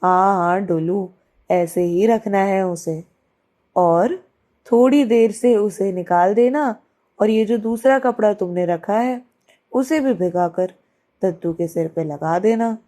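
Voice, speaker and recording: Woman playing a mellow, helpful shopkeeper uncle. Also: mid-range voice, takes it slowly, natural voice. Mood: helpful advising. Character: helpful, fussing neighbourhood shopkeeper uncle in cartoon.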